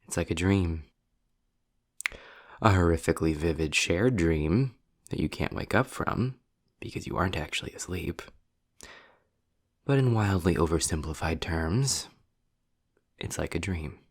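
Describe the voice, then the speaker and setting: A man playing a sardonic, world-weary demon in an intimate roleplay audio drama, deliberate and breathy, often dropping toward a whisper. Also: low voice, animated, heavily acted. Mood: grim, explaining. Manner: sarcastic, deliberate, sighing.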